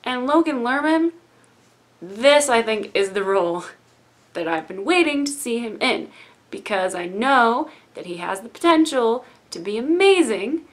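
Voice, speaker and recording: A young woman hosting a trailer reaction in a room lined with bookshelves, hoarse from losing her voice and chatting in a gushing, excited way into a desk webcam. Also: on the high side, unhurried. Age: young adult. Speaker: YouTube reaction host.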